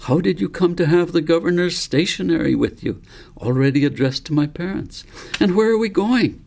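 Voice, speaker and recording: A man's voice: a little high, lively intonation, moderate pace, vocal fry, darkish tone, pitched above the performer's own voice. A man reading the part of a bewildered schoolboy in a storybook, asking insistent questions full of doubt.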